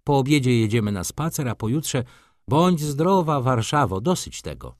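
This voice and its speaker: A man voicing an imperious, flirtatious society lady from a novel, commanding and theatrical, quick to scornful indignation. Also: mid-range voice, very animated, dry recording, studio quality, pitched above the performer's own voice, lightly acted. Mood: teasing, bossy.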